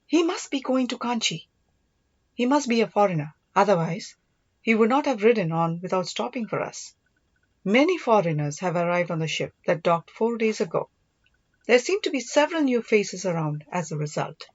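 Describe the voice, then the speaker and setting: A woman's voice: mid-range voice, animated, normal speed, dull audio, lightly acted. Woman reading as an earnest young storybook prince. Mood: curious. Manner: earnest, reassuring. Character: majestic young prince, devoted, earnest, teasing older brother.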